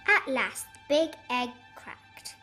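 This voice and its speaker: A woman's voice: on the high side, very animated, takes it slowly, sharp tone, exaggerated voice. A woman reading a storybook aloud in character, as narrator and critical old mother duck, over music. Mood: expressive. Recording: music behind the voice.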